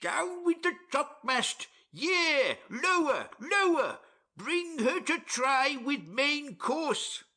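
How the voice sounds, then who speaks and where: Man acting a high-pitched bellowing storm sailor. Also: sing-song, slow pace, bright, forceful tone, full character voice. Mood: urgent, commanding. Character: desperate male sailor bellowing orders in a storm. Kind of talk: dramatic audiobook acting.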